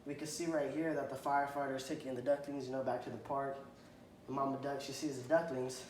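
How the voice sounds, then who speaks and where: A man reading a children's picture book aloud to a child, stopping to point at the pictures and ask questions, and often clearing his throat. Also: medium pitch, flat delivery, fairly quick, a little mellow. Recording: echoey room, faint background noise.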